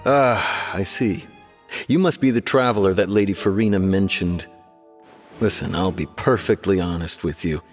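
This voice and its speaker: A man as a frank, low-voiced guard officer. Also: normal speed, soft background music, natural voice. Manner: frank, matter-of-fact. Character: frank, businesslike male guard officer giving advice. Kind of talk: video game voice acting.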